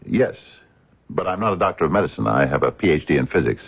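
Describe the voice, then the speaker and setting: An actor playing an earnest, courteous American physicist in a radio mystery, speaking in a hesitant, wordy, stammering way. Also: male voice, extremely low pitch, animated, fast, gravelly, slightly rough, heavily acted. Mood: fussy, eager. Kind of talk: radio drama acting. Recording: dull, muffled radio drama recording.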